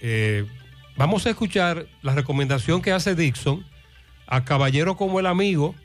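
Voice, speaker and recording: Male host, fairly high voice, conversational. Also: expressive, normal speed, soft background music. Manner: conversational, moderating. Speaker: radio host.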